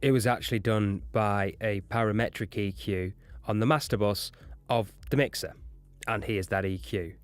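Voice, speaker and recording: A man's voice: on the low side, normal speed, soft background music. A man narrating an explanatory lesson as an audio engineering instructor.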